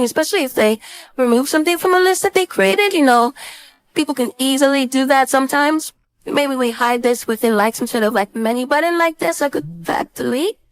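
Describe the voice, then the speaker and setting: High-voiced woman teaching casually, dry sound. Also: no echo. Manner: informal, thinking aloud step by step. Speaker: design instructor. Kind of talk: casual screen-share software tutorial.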